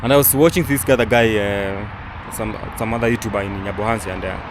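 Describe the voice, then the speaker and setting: A man's voice: lowish voice, steady tone, a little fast. A young man, a travel vlogger, giving casual commentary outdoors, rambling with many fillers and restarts. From Kenya. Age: young adult. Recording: outdoor recording with traffic noise.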